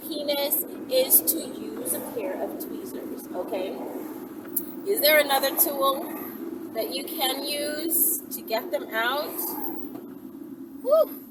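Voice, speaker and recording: Loud vehicle-like noise surrounds a woman speaking at some distance from the microphone. Her voice sits fairly high. She talks casually as a video host, thinking out loud and often checking in with the listener. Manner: chatty, thinks aloud, often checks in with "right" and "you know". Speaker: online video host answering viewer questions. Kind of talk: casual, improvised question-and-answer talk to camera.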